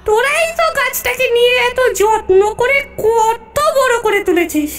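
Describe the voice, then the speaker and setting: Very shrill cartoon ghost woman. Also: lively intonation, moderate pace, fairly bright tone, soft background music. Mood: plaintive, defiant. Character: shrill female banana-loving ghost searching for lost husband. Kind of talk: cartoon voice acting.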